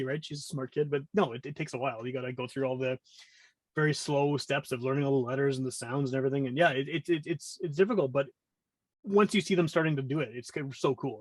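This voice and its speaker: A man's voice: on the high side, no room sound. A man of about forty with a Canadian accent, chatting casually as a podcast guest in a rambling, self-interrupting way. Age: around 40.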